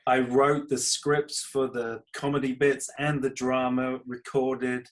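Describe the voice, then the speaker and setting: A man's voice: medium pitch, flat delivery, slow. Modest British man chatting in an interview. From United Kingdom. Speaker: podcast guest, comedy writer and creator. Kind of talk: casual interview chat.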